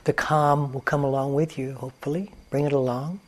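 A man teaching a guided meditation in a calm, gentle manner, leaving long pauses between phrases. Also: medium pitch. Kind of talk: guided meditation, unscripted instruction.

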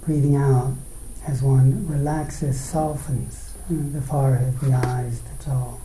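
A man leads a guided meditation in a roomy, echoing space. His voice is very dark and mellow. He moves slowly, setting out short phrases and pausing between them. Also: mid-range voice, steady tone, a little hiss or hum. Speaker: meditation teacher. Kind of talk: guided meditation, dharma talk.

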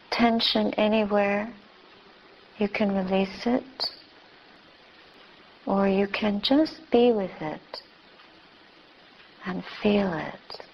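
A woman teacher leading a guided meditation with gentle instructions in short, spaced phrases. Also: mid-range voice, vocal fry, slight background noise. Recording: dull, lo-fi recording.

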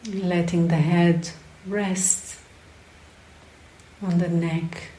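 Very slow woman guiding meditation. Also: lowish voice, flat delivery, warm tone. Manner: unhurried, short phrases with long pauses. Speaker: meditation teacher. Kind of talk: guided meditation. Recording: roomy, echoing sound.

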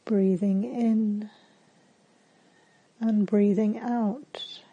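A woman meditation teacher leading a guided meditation in a calm, gentle, soothing manner with long pauses. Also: medium pitch, husky, dull audio.

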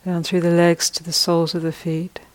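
A woman meditation teacher leading a guided meditation, speaking soft, gentle instructions with long pauses between phrases. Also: on the low side, monotone.

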